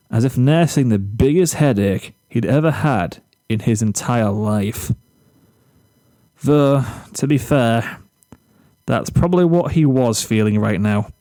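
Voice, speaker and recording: Guy reading fan fiction story out loud, mellow voice, really dry close sound, measured pauses. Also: medium pitch, dry recording. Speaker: story narrator.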